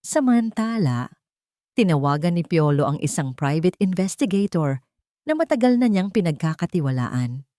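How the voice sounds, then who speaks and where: A woman narrates a romantic drama in a low, very lively voice. Her phrasing is solemn and emotive, and the sound is dry and close. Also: normal speed, dry recording. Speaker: storyteller, narrator. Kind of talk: dramatic scripted story narration.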